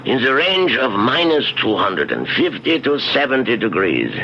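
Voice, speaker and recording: Gravelly, lecturing doctor-scientist. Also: male voice, medium pitch, sing-song. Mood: precise, explanatory. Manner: measured, lecturing, technical. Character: confident, precise male doctor-scientist explaining his machine. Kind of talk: old-time radio drama acting. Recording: dull, noisy 1970s radio recording.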